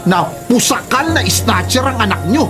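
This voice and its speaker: Earnest, righteous husband in radio drama, music behind. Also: male voice, very high voice, expressive, normal speed. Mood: furious, accusing. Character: indignant, righteous husband of a policewoman. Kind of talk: Filipino radio drama acting.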